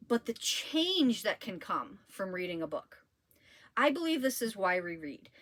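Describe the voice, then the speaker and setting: A woman's voice: medium pitch, a bit bright. A woman giving casual, chatty recommendations like a librarian, with an enthusiastic, gushing and emphatic way of talking. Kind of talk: casual, chatty book review.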